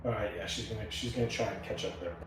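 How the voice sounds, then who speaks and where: A man's voice: lowish voice, fast talker. A man talking casually as a player in a tabletop roleplaying game. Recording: very echoey room, faint music.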